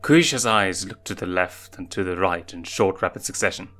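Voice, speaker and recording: A man's voice: deep voice, fairly quick, a bit bright, soft background music. A man narrating a story, reading scripted fiction aloud.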